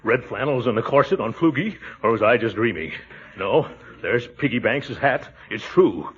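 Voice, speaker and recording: An actor playing a pompous, chuckling middle-aged uncle who schemes sheepishly, in a 1940s radio sitcom style. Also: male voice, mid-range voice, lively intonation, normal speed, lightly acted. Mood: worried muttering. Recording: tinny, hissy 1940s radio broadcast.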